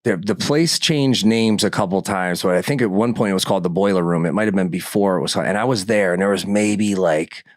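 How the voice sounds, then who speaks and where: A man's voice: low voice, fairly quick, few pauses, dry recording, studio quality. A man hosting a casual interview, chatting and asking loose follow-up questions. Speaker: podcast host.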